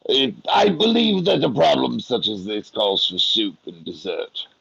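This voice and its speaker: A man playing a pompous, food-loving fantasy game character, with a gravelly voice and a deliberate manner. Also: mid-range voice, huge pitch swings, fairly bright tone, dry recording, dull audio, exaggerated voice. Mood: self-important.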